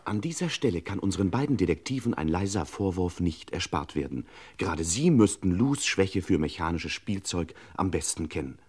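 A tongue-in-cheek radio serial narrator with a very deep voice. He speaks in measured, florid sentences, lending mock gravity to pulp crime storytelling. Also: very animated, darkish tone, slightly rough, exaggerated voice. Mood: ironic gravity.

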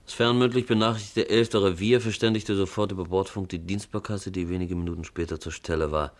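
Male actor as nonchalant reporter, deep voice, wry and flippant; vintage radio crime play. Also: fairly even intonation, a little fast, lightly acted. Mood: bored. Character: flippant, easily bored male newspaper reporter. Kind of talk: 1950s German radio crime drama acting.

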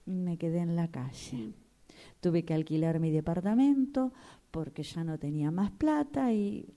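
An actress plays a gullible, superstitious Argentine woman in a radio play. She tells her troubles with dramatic emphasis, and her pitch swings in a lively way. She is quick to take offence. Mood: pleading. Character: superstitious, gullible, indignant woman seeking refuge with her fortune-teller.

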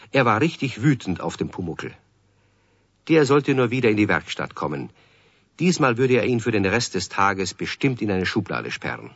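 A male narrator telling a children's story in a low, husky voice. His delivery is measured yet lively and expressive. The sound is dry and slightly dull, like vintage radio. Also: dry recording, full character voice.

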